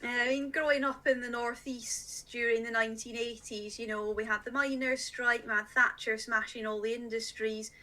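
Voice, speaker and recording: A woman's voice: fairly high pitch, fairly even intonation, moderate pace, few pauses, pushed and bright. A middle-aged woman from Scotland who grew up in northeast England, a magazine editor speaking earnestly and emphatically as a podcast guest. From Scotland, raised in northeast England. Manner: earnest, emphatic, plain-spoken. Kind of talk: earnest interview talk.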